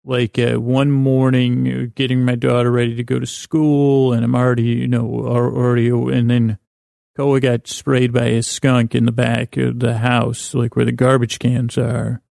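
A middle-aged man hosting a sleep podcast, rambling hesitantly and often trailing off mid-thought in a gravelly voice. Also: medium pitch, completely flat delivery, normal speed, a little mellow, no echo, studio quality. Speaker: podcast host.

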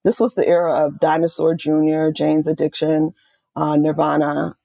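A woman's voice: low-pitched, moderate pace, gravelly, no room sound. A middle-aged woman from Oakland, California, an author and music journalist, telling stories with enthusiasm in an interview. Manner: enthusiastic, animated storytelling with quoted asides. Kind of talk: conversational interview.

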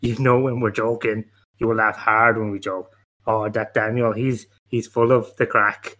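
A man's voice: on the low side, flat delivery, moderate pace, pushed and bright. A man playing a patronising, mock-stern police detective in an improvised comic radio play. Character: folksy mock-stern male police detective interrogating a suspect.

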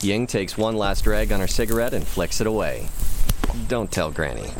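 A man's voice: on the low side, big pitch swings, normal speed, noisy background. A man narrating a scripted audio comedy in a wry, tongue-in-cheek manner.

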